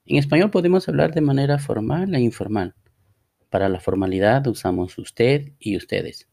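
A man teaching a Spanish lesson on a podcast, reading example phrases slowly and deliberately. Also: mid-range voice, gravelly, mellow. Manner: slow, deliberate, reads model dialogues aloud. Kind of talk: scripted language lesson podcast.

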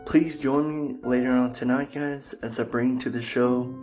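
Unhurried male podcast host over faint guitar. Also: mid-range voice, fairly even intonation, a little mellow. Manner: addresses listeners as guys, formal Miss and Mister titles. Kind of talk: casual radio-show presenting. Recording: faint music behind the voice.

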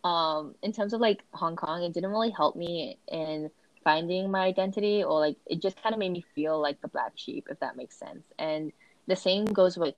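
A woman's voice: fairly low pitch, normal speed, dry recording, muffled recording. A young adult woman chatting casually, hedging often with "like" as she asks questions. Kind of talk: casual conversation.

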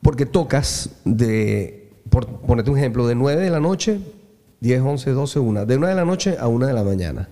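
A Venezuelan man, a singer who leads a band, chatting casually and telling lively anecdotes. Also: mid-range voice, moderate pace, long reverb tail. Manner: storytelling, animated anecdotes. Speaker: singer and bandleader. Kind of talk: casual conversation.

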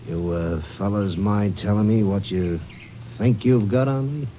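An actor playing a slick, evasive crime boss in a 1950s radio drama, smooth-talking and defiant. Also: male voice, fairly low pitch, measured pace, close to an everyday voice. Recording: dull, noisy 1950 radio recording.

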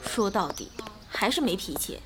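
A woman playing a sharp-tongued, possessive young actress in an audio drama, pert and outspoken, quick to complain. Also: medium pitch, big pitch swings, very noisy, lightly acted. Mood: indignant. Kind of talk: modern radio drama acting.

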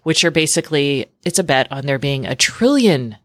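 A woman's voice: sits low, animated, moderate pace, dry recording, clean studio sound. A woman hosting a tech podcast, talking in a chatty, informal way with lots of "like" thrown in. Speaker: podcast host. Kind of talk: casual tech podcast chat.

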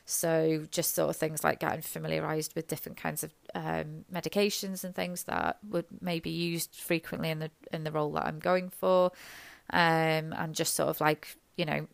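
A woman, a nurse, talking in a halting, rambling, self-deprecating way, her voice hoarse and gravelly from illness. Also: low-pitched, level tone, dry recording. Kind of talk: reflective personal monologue.

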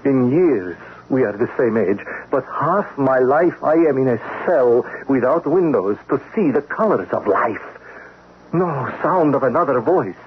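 An actor playing a weary middle-aged refugee painter and former political prisoner in a radio drama, speaking in earnest, poetic, pleading phrases. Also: male voice, mid-range voice, lively intonation, natural voice. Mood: sorrowful, weary. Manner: slow, poetic, pleading, formal foreign phrasing. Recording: tinny band-limited radio, clear noise.

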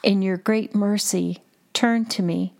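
A woman guiding contemplative prayer, reading in short, measured and deliberate phrases. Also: medium pitch, steady tone, creaky, darkish tone. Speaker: prayer guide and podcast host. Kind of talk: slow, repetitive guided contemplative prayer reading. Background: music under the voice.

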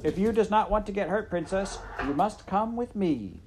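A man voicing a loud, simple-minded servant girl in a storybook, shouting bluntly in clumsy grammar. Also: high voice, animated, normal speed, slight background noise, pitched above the performer's own voice. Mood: loud reciting.

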